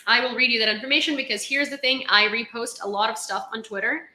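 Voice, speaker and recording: A woman's voice: a little high, fairly even intonation, fast talker, bright, forceful tone, reverberant. A young woman from Ukraine hosting a casual news live stream, reading headlines and chatting with viewers. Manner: chatty, laughs often, reads headlines aloud.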